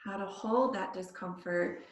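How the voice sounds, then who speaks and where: A woman yoga teacher leading a guided body scan meditation, speaking in short phrases with pauses between them. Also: mid-range voice. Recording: echoey room, distant microphone.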